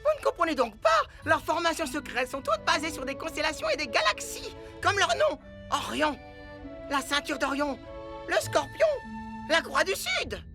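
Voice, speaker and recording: A man's voice: very high voice, moderate pace, music in the background, exaggerated voice. A man playing an eccentric, slovenly genius professor in a French-dubbed cartoon, theatrical and easily frazzled. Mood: excited. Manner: theatrical, excitable, muttering.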